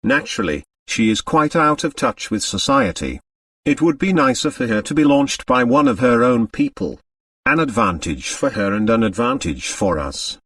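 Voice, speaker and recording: A man voicing an affected, grasping society lady, a mother who speaks in gushing, genteel tones of gentle reproach. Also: medium pitch, huge pitch swings, creaky, dry recording, clean studio sound, recorded from a distance, full character voice. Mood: scheming. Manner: gushing, genteel, drawn-out endearments.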